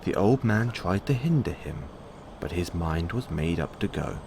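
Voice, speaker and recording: A man reading a bedtime fairy tale as a storyteller, in measured storybook narration. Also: low voice, expressive. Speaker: storyteller playing a boyfriend in audio roleplay. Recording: clearly audible background noise.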